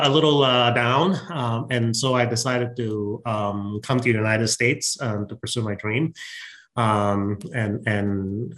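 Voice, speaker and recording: A man's voice: lowish voice, fairly bright tone. A man from Malaysia with a Malaysian accent, answering as an interview guest in a conversational, explanatory way, often restarting his sentences. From Penang, Malaysia. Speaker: podcast guest, research microscopy center director.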